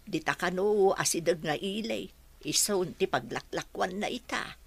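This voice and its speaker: A woman's voice: lowish voice, moderate pace, gravelly, breathy edge. An actress with an Ilocano Filipino accent playing a practical mother in a radio drama. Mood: matter-of-fact.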